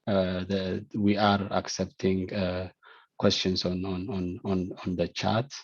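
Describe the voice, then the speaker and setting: A man moderating an online question session, speaking haltingly and repeating words as he searches for phrasing. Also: deep voice, level tone. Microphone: video call. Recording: dull, lo-fi video call audio.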